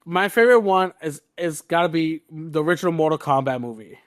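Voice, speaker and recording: A man's voice: a little high, a little fast, no echo, studio quality. A man co-hosting a podcast, chatting casually in a playful, opinionated way. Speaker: podcast co-host. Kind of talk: casual panel chat.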